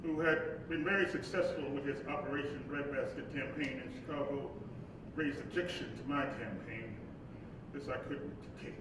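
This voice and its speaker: An actor playing a weary, embattled civil rights leader and husband in a staged play, speaking emphatically like an orator. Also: male voice, fairly even intonation, normal speed, natural voice. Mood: troubled, reflective. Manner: oratorical, emphatic, stammering when troubled. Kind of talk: staged play reading, dramatic monologue and dialogue. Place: live staged reading in an echoey hall. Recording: echoey live hall, distant mic, rumbly noise.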